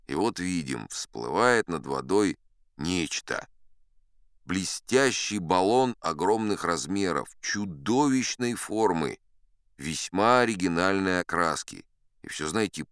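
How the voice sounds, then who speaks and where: Boastful old sea captain spinning tall tales, a guy reading it, deep voice, swings up and down a lot, confiding asides. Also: clean tone, no room sound, studio quality, heavily acted. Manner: chatty, confiding asides to the listener. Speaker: audiobook narrator. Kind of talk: first-person storytelling in an audio play.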